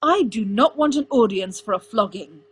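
Woman acting a proud, snapping young prince, loud background noise. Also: mid-range voice, normal speed, close to an everyday voice. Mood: frustrated, shouting. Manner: clipped, commanding, snapping. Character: proud, bitter young crown prince, stubborn and angry.

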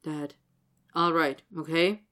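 A woman's voice: sits low, steady tone, takes it slowly, pushed and bright, exaggerated voice. A woman voicing a big, sullen teenage boy who speaks in short, guarded lines in an audiobook reading.

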